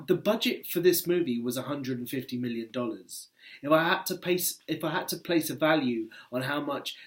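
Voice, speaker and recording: A man reading a personal essay aloud in a wry, conversational way, leaning on key words for emphasis. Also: on the high side. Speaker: book editor and essayist reading his work.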